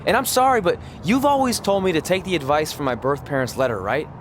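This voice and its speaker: A man plays a stubborn young hopeful in a game cutscene. His voice is on the high side and moves around a lot. He sounds earnest and boyish, with faint outdoor noise behind him. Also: expressive, fast talker, close to an everyday voice. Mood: earnest, apologetic. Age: young adult. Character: earnest, stubborn young male aspiring pro wrestler.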